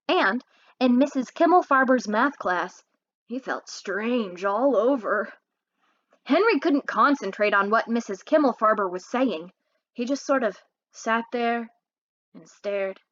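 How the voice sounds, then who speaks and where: A woman reads a children's story with lots of expression. Her voice sits a little high and swings widely in pitch. She is animated, like a storyteller. The recording sounds a bit dull and lo-fi. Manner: animated, pauses to ask listeners questions. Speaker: read-aloud host, storyteller.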